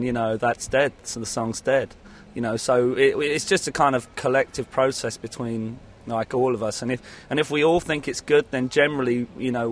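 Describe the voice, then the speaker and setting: Man rambling casually, faint traffic noise. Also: mid-range voice. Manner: rambling, hesitant, peppered with 'you know'. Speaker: musician being interviewed. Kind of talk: casual interview talk.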